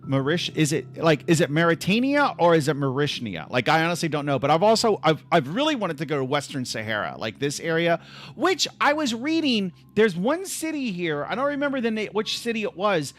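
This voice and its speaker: A man's voice: on the high side, very animated, a little fast, a bit bright. A man hosting a casual livestream from home on his laptop, rambling and restarting his sentences with lots of "like.". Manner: rambling, restless, full of restarts and "like". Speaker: livestream host.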